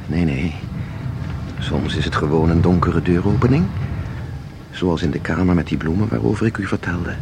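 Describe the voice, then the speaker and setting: An actor in a Dutch audio drama playing a composed, knowing guide who explains things in a measured, formal way. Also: male voice, deep voice, creaky, noisy background. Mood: composed, mysterious. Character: composed, knowing male guide through eerie painted worlds.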